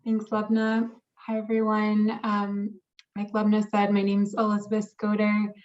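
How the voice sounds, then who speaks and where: A woman introducing herself informally in an online meeting as an agency outreach specialist, halting with frequent ums. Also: mid-range voice, monotone, darkish tone. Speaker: water agency outreach specialist, task force member. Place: remote video call. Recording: dull, muffled call audio.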